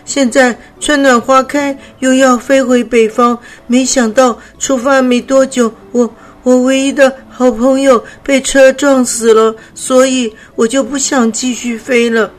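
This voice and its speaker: A woman voicing a small, sickly grey butterfly in a storybook, with a high, childlike, breathless voice. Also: normal speed. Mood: weak, pleading. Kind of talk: storybook character voice.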